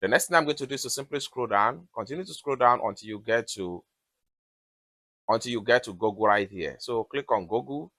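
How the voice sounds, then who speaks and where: A man with a mid-pitched voice narrates a step-by-step tutorial. He gives instructions one at a time, often repeating his phrasing. The sound is dry and close to the mic. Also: fairly quick, sharp tone, dry recording. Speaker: tutorial host.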